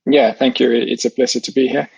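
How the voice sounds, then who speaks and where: A middle-aged man from Denmark, explaining his scientific work as an interview guest in halting phrases with repeated words. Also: mid-range voice, fairly even intonation, creaky, a little mellow, breathy edge, dry recording. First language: Danish.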